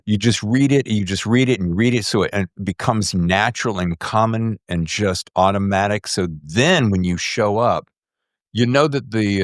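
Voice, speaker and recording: A low-pitched man hosts a podcast interview in casual, emphatic talk. His intonation swings widely as he builds his questions, and the recording is close and dry. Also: dry recording. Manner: expressive, emphatic, acts out imagined quotes.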